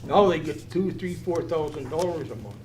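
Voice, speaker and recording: A man's voice: fairly high pitch, animated, normal speed, a little mellow, echoey room, a little hiss or hum. An older man and veteran, asking a blunt, indignant question from the floor of a public forum. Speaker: audience member and veteran. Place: community hall.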